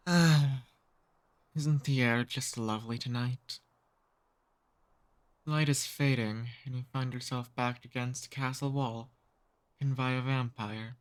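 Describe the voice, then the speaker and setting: Casting: male actor for an ancient noble vampire, mid-pitched male voice, charming and softly dominant, intimate ASMR roleplay. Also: steady tone, clean tone, natural voice. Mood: seductive, teasing. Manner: courtly, slow seductive teasing.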